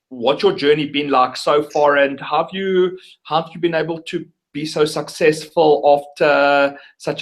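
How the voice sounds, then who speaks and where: A man, hosting a casual interview with upbeat enthusiasm and some stumbles. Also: on the high side. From South Africa. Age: 30s. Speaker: interview host.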